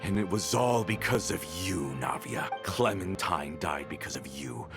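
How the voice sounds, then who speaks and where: Bitter, grieving game antagonist, theatrical and accusatory, widely swooping pitch, frayed creaky edge. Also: male voice, lively intonation, measured pace, music in the background, full character voice. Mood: anguished accusation. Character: bitter, vengeful man, grieving and full of hatred. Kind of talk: dramatic video game voice acting.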